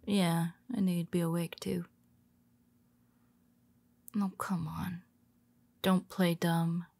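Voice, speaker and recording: A woman playing a weary, teasing female superhero who speaks softly to her nemesis, often dropping to a whisper. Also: fairly low pitch, flat delivery, takes it slowly, gravelly, no echo, heavily acted. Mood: wry teasing. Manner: teasing, soft-spoken, slips into whispers. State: tired. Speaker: audio roleplay performer. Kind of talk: intimate audio roleplay.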